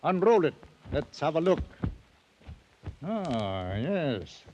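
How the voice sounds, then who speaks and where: A man acting a stolid, literal-minded ship's captain in a 1940s radio drama, with a pedantic, deliberate manner. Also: fairly high pitch, very animated, a little mellow. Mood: mildly puzzled, reasoning. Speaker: actor playing a ship's captain. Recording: old radio broadcast with background noise.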